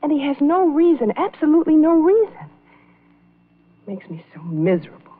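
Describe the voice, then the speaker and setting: A woman's voice: fairly low pitch, normal speed, slightly rough. A woman acting an unhappy, pleading wife with a melodramatic manner in an old-time radio drama. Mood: miserable, dramatic. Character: unhappy, pleading young wife confiding in a man. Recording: muffled old radio recording.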